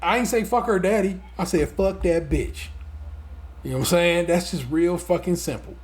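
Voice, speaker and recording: A man's voice: high-pitched, normal speed, a little mellow. Middle-aged male podcast host, casual and profane, lots of restarts. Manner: loose, profane, laughing, talks in restarts. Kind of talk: casual chat.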